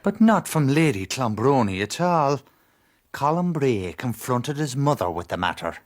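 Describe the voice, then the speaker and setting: An actor playing a plain-spoken, wry Irish servant who narrates a period radio drama. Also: male voice, mid-range voice, big pitch swings, a little slow. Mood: brisk, wry.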